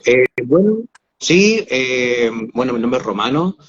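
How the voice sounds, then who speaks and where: A man's voice: medium pitch, big pitch swings, takes it slowly, dull audio. A Chilean man hosting a casual online livestream, talking with a lot of hesitation and fillers over a video call. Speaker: host.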